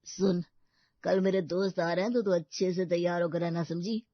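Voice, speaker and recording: Woman doing arrogant rich husband, low pitch, curt, sneering, audio story, dry and slightly dull recording. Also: steady tone, normal speed, no echo, lightly acted. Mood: domineering. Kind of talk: Hindi audio story character acting.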